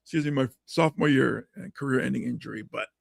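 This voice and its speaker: A middle-aged man from Hawaii with a hoarse, raspy voice, hosting a podcast chat in a lively way, laughing often and acting out quotes. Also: mid-range voice, quick pace, no echo, clean studio sound. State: hoarse from coaching. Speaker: podcast host and comedian.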